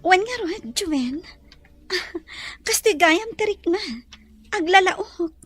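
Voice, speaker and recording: A woman with an Ilocano accent playing a gentle, slightly worried bride-to-be in a radio drama. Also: extremely high pitch, takes it slowly, no room sound, heavily acted. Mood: hopeful. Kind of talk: Filipino radio drama acting.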